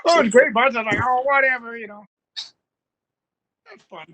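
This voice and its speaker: A man's voice: very high-pitched, moderate pace, pushed and bright, lo-fi sound. A man who acts in radio plays, chatting casually and rambling a little on a panel. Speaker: radio play cast member.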